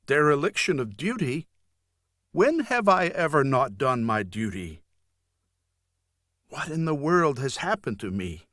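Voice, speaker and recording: A man's voice: medium pitch, big pitch swings, unhurried, pauses often, a bit bright, dry recording, clean studio sound, pitched above the performer's own voice. A man voicing an anxious, bewildered young tribune in an audiobook, questioning in a pleading, distressed way. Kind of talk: audiobook character dialogue.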